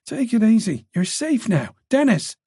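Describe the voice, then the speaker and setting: A man voicing a tired police detective who stays calm and reassuring. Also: high voice, a little slow, husky, dry recording, studio quality, pitched above the performer's own voice, heavily acted. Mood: weary. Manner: weary but calm and reassuring.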